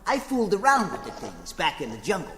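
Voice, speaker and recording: An actor playing a blunt, cocky, slangy brawler sidekick in an old-time radio adventure, with an excitable manner. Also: male voice, sits high, fast talker, in a large hall. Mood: cocky, grumbling. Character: brawny, scrappy, blunt comic sidekick.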